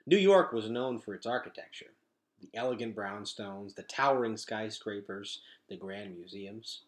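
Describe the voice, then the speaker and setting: A man reading a children's novel aloud as a storyteller, with expressive, emphatic phrasing. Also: lowish voice, moderate pace. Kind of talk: animated children's book reading.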